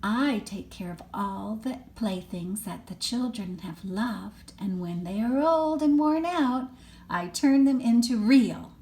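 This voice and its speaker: A woman's voice: mid-range voice, lively intonation, slow pace, dark tone. A woman voicing a kindly, reassuring magic fairy who looks after beloved toys in a storybook. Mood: gentle, reassuring.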